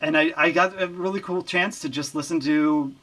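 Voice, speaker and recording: A man who works as a recording engineer, chatting as an interview guest in an easygoing, halting way. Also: on the high side, steady tone, fairly bright tone. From Lehigh Valley, Pennsylvania. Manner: easygoing, halting, with many fillers and restarts. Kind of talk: casual interview chat.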